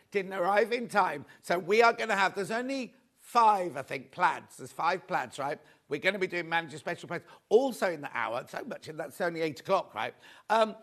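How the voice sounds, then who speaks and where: A man presenting a live shopping show, rambling through a chatty sales patter with a slightly croaky voice. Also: high voice, fairly bright tone.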